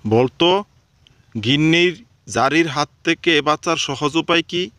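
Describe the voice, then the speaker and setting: Man acting as a strict schoolteacher, comedy cartoon, fairly high male voice, questioning, dry close recording. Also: measured pace, a bit bright, no room sound. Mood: quizzing. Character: stern male schoolteacher quizzing his cheeky pupils.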